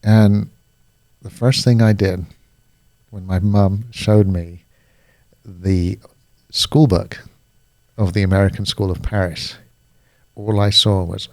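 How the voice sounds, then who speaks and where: A man's voice: on the low side, fairly even intonation, slow, pauses often, a little mellow, dry recording. A man with a British accent, a coach talking reflectively as a guest in a casual podcast conversation, often searching for his words.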